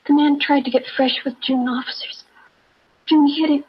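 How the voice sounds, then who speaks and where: An actress playing a polite, earnest young immigrant daughter and witness in a 1950s radio drama. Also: female voice, high-pitched, fairly even intonation, moderate pace, lightly acted. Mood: earnest, upset. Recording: dull 1950s radio recording.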